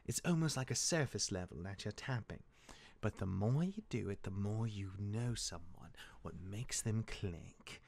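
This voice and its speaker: A man acts out an easygoing young-hearted god and reluctant mentor in a fantasy audio drama. His low voice swings widely in pitch as he rambles and teases like a cocky older brother. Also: expressive, measured pace, gravelly, few pauses, darkish tone, full character voice. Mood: encouraging. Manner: casual, rambling, teasing. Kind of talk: fantasy audio roleplay acting.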